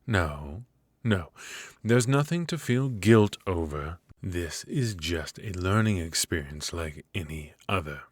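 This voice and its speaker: A man's voice: low-pitched, lively intonation, takes it slowly, no room sound. A man voicing a cold, strict royal professor of magic, stern and clipped, with dry, condescending remarks in an ASMR roleplay.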